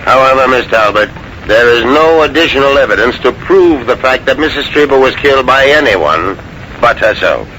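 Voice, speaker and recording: An actor playing a formal, matter-of-fact police inspector in a 1940s radio drama, measured and dry. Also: male voice, mid-range voice, animated, sharp tone, low-quality recording. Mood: official. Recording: hissy 1940s radio recording.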